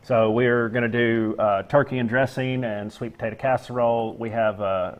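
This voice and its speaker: Easygoing chef on a studio kitchen set. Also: male voice, medium pitch, steady tone, moderate pace, dull audio. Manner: easygoing, lists dishes with frequent "uh" fillers. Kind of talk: casual TV interview.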